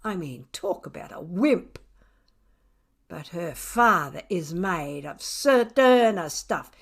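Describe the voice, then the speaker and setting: A woman's voice: lowish voice, lively intonation, slow pace, voice pushed down, heavily acted. A woman voicing a smug, scornful pet cat who tells its tale with dramatic, sardonic emphasis in a children's audiobook. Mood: scornful, indignant.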